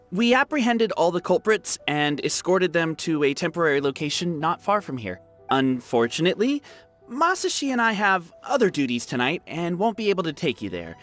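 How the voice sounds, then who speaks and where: An excitable young veteran from a video game. His delivery is earnest and very animated, swinging up and down in pitch. Faint music plays behind him.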